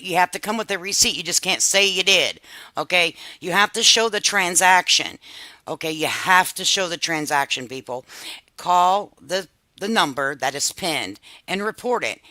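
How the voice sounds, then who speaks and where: A woman hosting a reaction commentary channel, pausing another video to talk over it in a chatty way, scolding commenters and laughing at herself. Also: fairly low pitch, pushed and bright, no echo.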